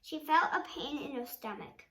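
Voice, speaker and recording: High-pitched halting storybook reader, female. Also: animated, a bit bright, recorded from a distance. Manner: halting, sounding out words, acts out quotes. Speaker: read-along reader. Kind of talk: storybook read-aloud. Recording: roomy sound with faint background noise.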